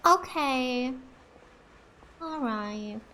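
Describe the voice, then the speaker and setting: A woman's voice: sits high, expressive, very slow, sharp tone, slight background noise. A woman giving casual reaction commentary as a let's play streamer. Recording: faint game music behind.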